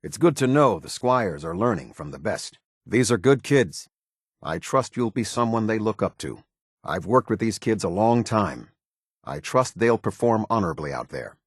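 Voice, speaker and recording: An American man playing a stern, formal military officer in a video game, speaking with husky, clipped, measured authority. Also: fairly low pitch, lively intonation, dry recording, clean studio sound. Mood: instructive, steady. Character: stern, formal male military officer of a post-apocalyptic order. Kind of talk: video game character dialogue.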